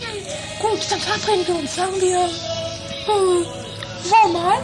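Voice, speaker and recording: A woman's voice: extremely high pitch, steady tone, unhurried, over loud music. A woman playing a brazen, bossy secret agent on the run in an improvised comic audio drama, teasing and haughty. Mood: probing, bossy.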